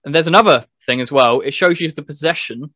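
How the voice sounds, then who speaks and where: A man's voice: a little high, fast, bright, forceful tone, slightly rough, dry recording, clean studio sound. A man co-hosting a gaming podcast, chatting casually and explaining things step by step. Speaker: podcast co-host reviewing a video game. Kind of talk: casual chat.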